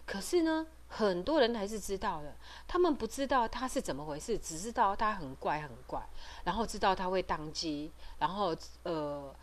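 A middle-aged woman with a Taiwanese Mandarin accent giving informal advice as a host, explaining things hesitantly with frequent pauses and trailing particles. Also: medium pitch, expressive. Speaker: podcast host and parent advocate. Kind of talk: informal advisory talk.

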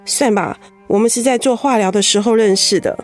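A woman playing a gentle young wife who keeps a brave face, in a radio drama. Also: mid-range voice, brisk, soft background music, close to an everyday voice. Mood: uneasy.

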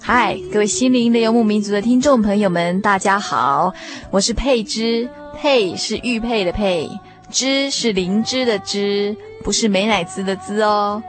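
A woman radio host speaks Mandarin with a Taiwanese accent over a bed of music. Her pitch is average for a woman, and she addresses listeners courteously in a conversational hosting style, explaining details clearly. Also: measured pace. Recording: music bed under studio voice. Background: background music.

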